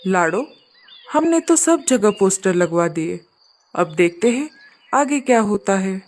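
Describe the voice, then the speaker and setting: A woman's voice: medium pitch, animated, measured pace, a little mellow, natural voice. A woman voicing a polite, earnest male crow who runs a hotel in a children's cartoon story. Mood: curious, hopeful.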